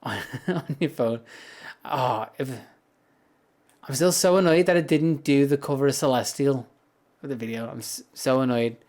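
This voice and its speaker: A man with a deep, grumbly voice chats casually and rambles on a livestream, speaking softly into a close, high-gain mic. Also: a little slow. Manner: rambling, chatty, soft-spoken without projecting. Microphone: high-gain streaming mic up close.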